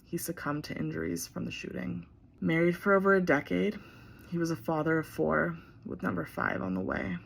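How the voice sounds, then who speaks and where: A woman's voice: fairly low pitch, fairly even intonation, normal speed, vocal fry, husky. A woman giving a solemn scripted reading for a memorial. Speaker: reader in an online remembrance video.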